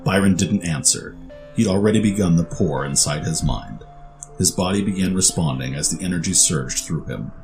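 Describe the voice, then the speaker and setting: A man with a low-pitched voice narrates a fantasy tale. He reads from a script as the storyteller, and faint music plays underneath. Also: animated, normal speed.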